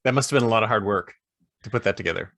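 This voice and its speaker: A man's voice: on the low side, vocal fry, fairly bright tone, dry recording. A man hosting a podcast interview over a remote call, chatty and encouraging toward his guests. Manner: upbeat, quick praise and encouragement. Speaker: podcast host. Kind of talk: casual interview chat.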